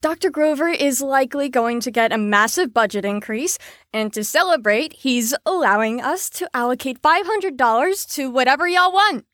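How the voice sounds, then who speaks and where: A woman's voice: sits high, lively intonation, normal speed, fairly bright tone, dry recording, studio quality. A woman playing a chipper but firm mental facility staffer in an audio drama, with a perky, authoritative manner.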